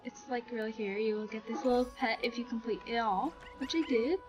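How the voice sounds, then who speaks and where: A woman's voice: on the high side, fairly even intonation, brisk, background noise. A woman chatting casually to viewers as she introduces a gameplay video.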